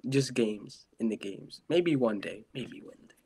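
A man's voice: fairly low pitch, lively intonation, unhurried, vocal fry, warm tone, slight background noise. A teenage boy from India with an Indian English accent, giving short, hesitant answers in a casual webcam chat. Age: teens. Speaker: chat stranger.

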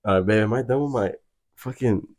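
A man's voice: lowish voice, brisk, darkish tone. A young man, chatting and joking with his girlfriend in a bedroom while she does his makeup, laughing often and using loose slang. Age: young adult. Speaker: vlogger. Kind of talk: casual chat. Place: small bedroom, indoors.

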